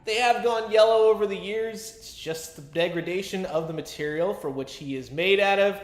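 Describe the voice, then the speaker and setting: Man, higher-pitched voice, enthusiastic collector, casual review commentary, colloquial, noticeable room echo. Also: moderate pace. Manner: chatty, enthusiastic fan talk with colloquial asides.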